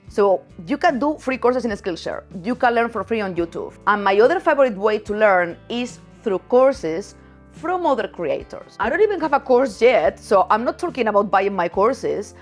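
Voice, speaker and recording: A woman in her thirties from Spain with a Spanish accent, talking frankly and chattily to camera as a photography vlogger, laughing easily. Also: mid-range voice. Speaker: photography youtuber. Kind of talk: casual direct-to-camera talk.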